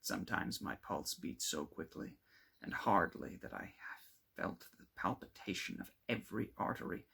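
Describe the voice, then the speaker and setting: Guy doing a dramatic audiobook read of old gothic horror, husky and kinda low, very emphatic. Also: normal speed, pushed and bright. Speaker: amateur audiobook reader. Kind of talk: dramatic narration of a classic gothic novel.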